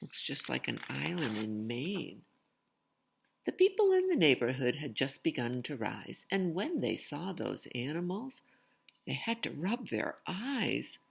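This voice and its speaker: A woman reads a children's story aloud in a low, creaky voice. Her intonation swoops expressively, and she pauses for chatty asides to young listeners. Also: big pitch swings.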